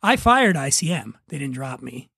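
A man's voice: mid-range voice, big pitch swings, normal speed, gravelly, crowd noise. A man hosting a podcast in casual comic chat, with a joking, self-deprecating manner. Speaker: podcast host.

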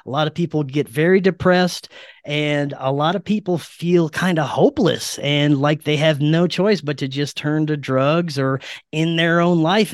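A man's voice: a little high, dry recording, studio quality. A man from Louisiana talking casually as a guest on a podcast.

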